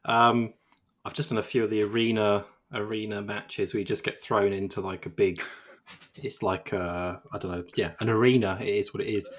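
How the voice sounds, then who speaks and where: A man's voice: lowish voice, level tone, moderate pace. A man co-hosting a casual games podcast, rambling and self-deprecating with lots of fillers. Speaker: games journalist co-host.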